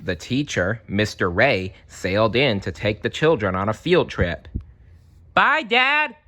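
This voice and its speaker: Animated low-voiced man reading a storybook. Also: unhurried. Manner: animated, chatty. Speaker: storybook reader. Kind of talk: read-aloud narration of a children's storybook with casual asides.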